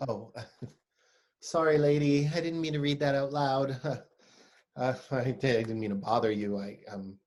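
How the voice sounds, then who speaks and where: Male actor as bashful young poetry lover, earnest, hesitant, unhurried, stage play reading. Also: medium pitch, fairly even intonation, a little mellow, lightly acted. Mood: awkward, apologetic. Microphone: video call.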